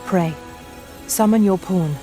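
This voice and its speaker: A woman's voice: mid-range voice, takes it slowly, warm tone, faint music behind, natural voice. A woman playing a courteous, reverent pawn guide in a fantasy game, formal, archaic and deferential. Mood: reverent, calm.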